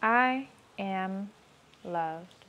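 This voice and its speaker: A woman slowly and calmly leading guided self-affirmations, repeating each line twice. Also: medium pitch, dull audio. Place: outdoors on a rainy day.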